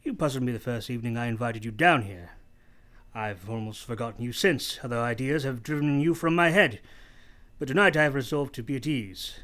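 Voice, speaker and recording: An actor playing a brusque, teasing, moody gentleman master of the house in a radio play, imperious and halting. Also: mid-range voice, close to an everyday voice. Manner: imperious, abrupt, probing, halting delivery.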